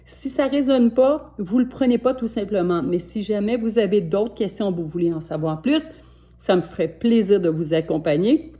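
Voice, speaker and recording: Gentle Québécois woman in her sixties. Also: mid-range voice, expressive, moderate pace. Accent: Québécois French. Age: 60s. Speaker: life coach. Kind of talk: motivational self-help talk to camera. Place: home.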